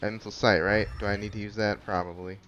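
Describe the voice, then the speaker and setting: Low-voiced man, casual gameplay commentary. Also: level tone, brisk, fairly bright tone, a little hiss or hum. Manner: offhand, thinking aloud. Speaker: gaming video host.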